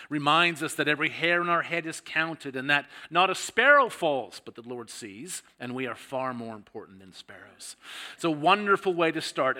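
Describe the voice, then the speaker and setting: A man's voice: fairly high pitch, bright, forceful tone. A middle-aged man with a Canadian accent, preaching a sermon as a guest pastor in a conversational, self-deprecating way.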